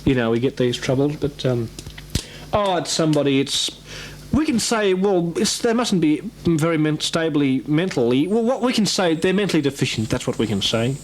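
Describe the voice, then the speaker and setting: A man's voice: on the high side, expressive, normal speed, slight background noise, rough recording. A man chatting casually as an amateur radio operator, rambling and hesitant, often repeating himself.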